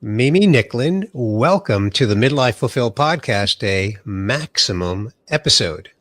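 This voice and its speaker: A man's voice: mid-range voice, lively intonation, unhurried, a bit bright, dry recording, clean studio sound, faint music behind. A middle-aged man from the USA hosting an interview podcast, sounding nasal from a cold and introducing his guest with enthusiasm. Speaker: podcast host.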